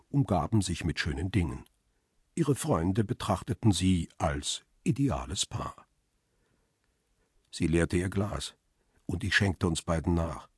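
A middle-aged crime-drama narrator with a very low, heavily husky voice. He is reflective and restrained, telling his own story as if thinking it over. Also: dry recording, studio quality, exaggerated voice. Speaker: narrator and lead character. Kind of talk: radio drama narration and dialogue.

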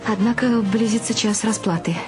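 A woman's voice: mid-range voice, moderate pace, music in the background, natural voice. An actress playing a wry woman narrator who tells her eccentric family's story in a radio play. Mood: wry, lively.

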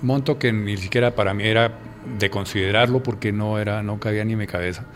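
A man's voice: fairly low pitch, quick pace, faint music behind. A man with a Colombian accent recalling events in a spontaneous interview as a police commander.

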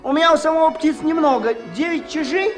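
Актёр в радиоспектакле играет хвастливого, восторженного деревенского мужчину, любителя птиц и музыки, который говорит по-простецки и сбивчиво. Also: male voice, extremely high pitch, brisk. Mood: boastful, excited. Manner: bragging, folksy, stumbling over words. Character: boastful excitable village man, bird lover and musician. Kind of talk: Soviet radio play acting. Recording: 1979 radio play with music.

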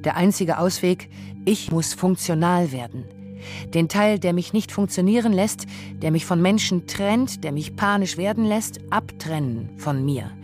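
Lowish-voiced woman, pensive radio reading. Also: measured pace, a little mellow, soft background music. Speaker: radio play performer. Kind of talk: scripted literary radio essay, dramatic reading.

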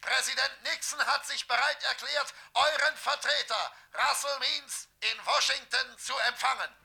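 A man's voice: extremely high pitch, a little slow, creaky, pushed and bright, soft background music, heavily acted. An actor playing a stiff male government envoy, proclaiming a formal official announcement in a German radio play. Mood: formal, forceful.

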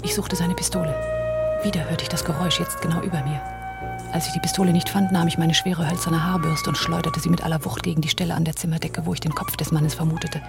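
A woman's voice: deep voice, fairly even intonation, fast, vocal fry, loud music behind the voice. A woman acting a cool, resolute accomplice in a German radio crime drama. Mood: tense.

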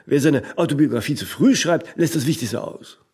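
Dark, mellow, stubborn celebrity workaholic played by a man. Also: fairly high pitch, expressive, moderate pace, natural voice. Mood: self-assured. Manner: rambling pronouncements, frequent tag "ne?". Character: famous, tireless, vain older male workaholic, stubborn and philosophising. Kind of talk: radio drama dialogue.

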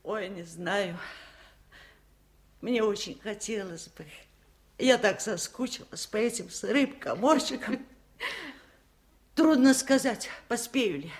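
An actress playing a lonely, wise old village aunt in a 1970s radio play, with plain-spoken, folksy, wry delivery. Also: female voice, mid-range voice, expressive, slow, pauses often. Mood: musing. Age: older. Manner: plain-spoken folksy musing, wryly stoic. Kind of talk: 1970s radio drama acting.

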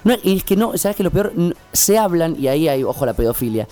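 Argentine guy on radio, kinda high voice, lots of slang, rambles through stories, music behind. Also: big pitch swings, fast talker, mellow. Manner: slangy, crude, stumbling anecdotal delivery. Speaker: radio show co-host. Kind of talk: casual radio chat. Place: radio studio.

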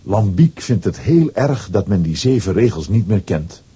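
A man's voice: lowish voice, big pitch swings, moderate pace, mellow, husky. A man narrating a children's radio play in a scripted storytelling style.

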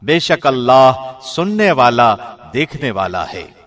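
A professional male narrator reading a scripture translation in a measured, solemn, formal studio style. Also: mid-range voice, very animated, a bit bright. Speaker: translation reader. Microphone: studio mic.

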